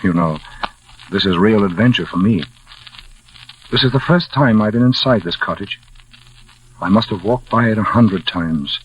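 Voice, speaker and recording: An actor playing a gentle older blind former pilot, measured and reflective, in a 1940s radio drama. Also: male voice, on the low side, a little hiss or hum, natural voice. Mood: courteous, musing. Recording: muffled old 1940s radio recording.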